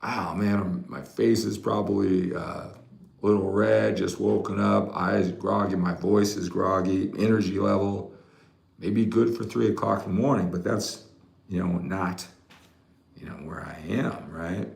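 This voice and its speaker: Gravelly, echoey American man livestreaming. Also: deep voice, fairly even intonation, a little slow, darkish tone. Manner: rambling, often adds "right?" as a tag. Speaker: fitness coach hosting a livestream. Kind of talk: casual livestream chat to viewers. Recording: roomy, echoey room sound.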